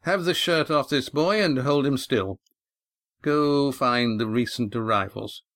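A man voicing a sardonic, cruel ship's master who gives orders in a slow, sneering, commanding way. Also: mid-range voice, fairly bright tone, no room sound.

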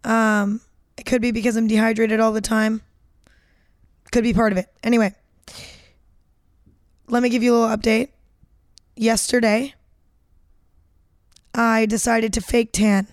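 A woman of nineteen hosting a casual solo podcast, chatty and rambling, a little hoarse with cracking voice. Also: a little high, flat delivery, a little slow, pauses often, no room sound, studio quality. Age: late teens. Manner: chatty, rambling, self-deprecating. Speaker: podcast host.

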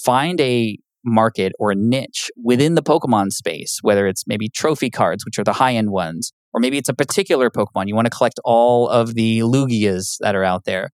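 A man's voice: mid-range voice, normal speed, hardly any pauses, no echo. A man talking as a podcast guest in casual conversation, earnest and patient. He explains things with many fillers. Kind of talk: casual interview talk.

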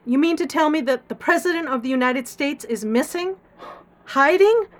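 A woman's voice: high voice, animated, normal speed. A woman playing a formal, incredulous government official in a radio drama, speaking pointedly.

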